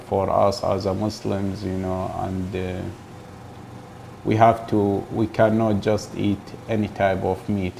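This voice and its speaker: A man from Iraq, a grocery store owner speaking haltingly in a documentary interview, often pausing to find his words. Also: fairly low pitch, creaky, dark tone, slight background noise.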